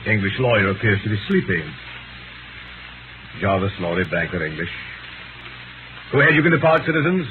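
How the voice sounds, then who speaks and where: Checkpoint officer for vintage radio play, male actor: low, stern, clipped, bureaucratic, thin noisy sound. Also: lively intonation, brisk, pauses often, lightly acted. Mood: stern, officious. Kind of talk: 1930s radio drama acting. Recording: noisy, tinny 1930s radio recording.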